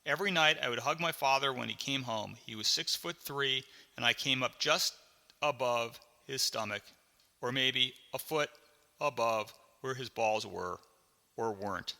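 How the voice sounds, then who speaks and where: A man reading his own memoir as a scripted audiobook, with dramatic, deliberate storytelling. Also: mid-range voice, creaky, pushed and bright, in a large hall.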